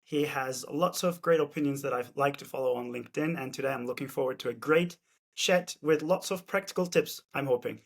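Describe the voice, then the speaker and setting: A man's voice: mid-range voice, a little fast, vocal fry, breathy edge. A man hosting a podcast interview, conversational and friendly as he asks his guest questions. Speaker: podcast host.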